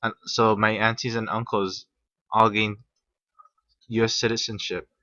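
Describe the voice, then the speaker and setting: A young man from Hawaii reading a class presentation, halting at times with restarts. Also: fairly low pitch, little pitch movement, sharp tone, no echo. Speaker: student presenter. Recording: muffled, slightly lo-fi.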